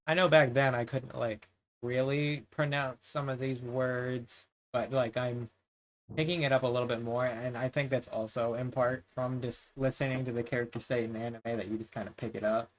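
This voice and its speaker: A man's voice: mid-range voice, steady tone, moderate pace, poor audio. A man livestreaming a video game, talking in a casual, rambling way. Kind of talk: casual rambling stream chat. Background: game music.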